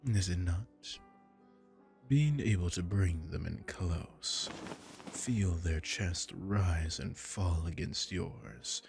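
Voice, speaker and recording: A gravelly vampire lord. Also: male voice, darkish tone, full character voice. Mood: seductive. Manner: slow, low, menacing seductive murmur. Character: arrogant aristocratic male vampire lord. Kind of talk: ASMR audio roleplay.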